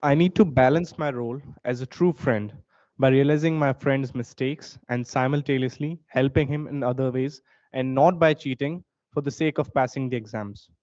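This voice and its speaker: A young man with an Indian accent, mid-pitched, talks through a prepared competition speech in a steady, formal way with the odd filler. The recording is dull and lo-fi, and dry. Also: normal speed, no room sound. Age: young adult. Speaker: student competitor.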